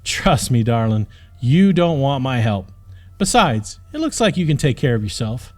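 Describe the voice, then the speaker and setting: Man playing a curt, weary retired knight, lively pitch. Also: normal speed, faint music behind, lightly acted. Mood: dismissive. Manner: curt, impatient, grudging.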